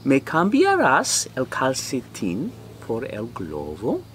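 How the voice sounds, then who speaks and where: Middle-aged male storyteller for a children's read-aloud, very varied pitch, unhurried, some outdoor noise behind. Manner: slow, animated, theatrical delivery. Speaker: storyteller reading a picture book aloud. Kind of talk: expressive children's read-aloud in character voices. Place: outdoors in a fenced backyard.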